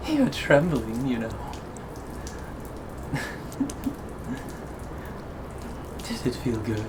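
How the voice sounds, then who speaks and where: A man acting an obsessive, sadistically tender lover in a scripted ASMR roleplay, taunting with breathy chuckles. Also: mid-range voice, sing-song, slow pace, background noise. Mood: thrilled, taunting.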